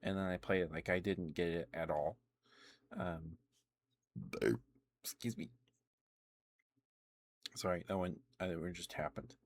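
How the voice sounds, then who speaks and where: A man livestreaming casual ASMR chat about games, talking in a rambling, halting way. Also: deep voice, little pitch movement, dry recording.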